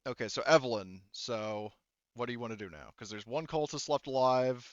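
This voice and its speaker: A man acting as game master, narrating a tabletop sci-fi horror game in a casual, halting way, thinking aloud. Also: mid-range voice, dry recording, lo-fi sound.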